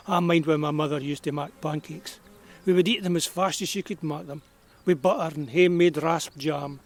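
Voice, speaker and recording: A man's voice: a little high, fairly even intonation, moderate pace, natural voice. An actor playing a kindly, nostalgic old man of about eighty with a broad rural Perthshire Scottish accent, reminiscing in a folksy way in an audio drama. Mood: fond, nostalgic. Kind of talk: naturalistic audio drama storytelling.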